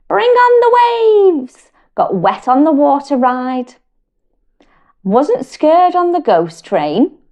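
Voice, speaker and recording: Slow, gentle British woman reading aloud. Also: dull audio. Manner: slow, gentle and expressive, with big pitch lifts on questions. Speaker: storyteller and wellbeing educator. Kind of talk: reading a picture book aloud to children.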